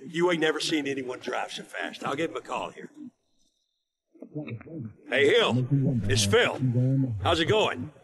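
An actor playing a boastful, folksy gun-loving self-styled army veteran in a video game, with a cocky drawl. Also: male voice, mid-range voice, sing-song, a little slow, long pauses, a bit bright, slight background noise. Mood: jovial. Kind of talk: video game cutscene acting. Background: music.

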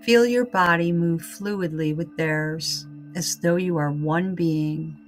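Female meditation guide, slightly low voice, very unhurried scripted read, soothing and calm. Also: faint music behind.

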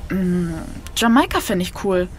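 A woman's voice: fairly low pitch, lively intonation, a little slow, slight background noise. An actress playing a defiant, grieving young woman in an audio drama, blunt, sarcastic and slangy. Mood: bitter, mocking. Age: young adult. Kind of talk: naturalistic German audio drama acting.

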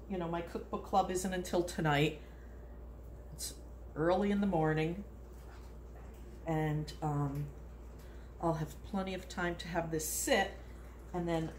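A woman in her sixties hosting a casual home cooking demonstration in her kitchen, chatty and rambling, laughing often, recorded on a nearby phone. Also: on the low side. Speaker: home cooking video host. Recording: echoey kitchen with background noise.

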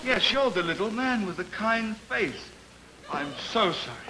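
An actor playing a deranged, vengeful drifter in a theatrical, drawn-out, melodramatic style for a 1950s television comedy sketch. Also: male voice, high-pitched, expressive. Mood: apologetic, pleading. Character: wild-eyed deranged drifter, mad with vengeance, wheedling then raging. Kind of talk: 1950s live television vaudeville sketch acting. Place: television studio stage, live show. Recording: noisy old 1950s television broadcast recording.

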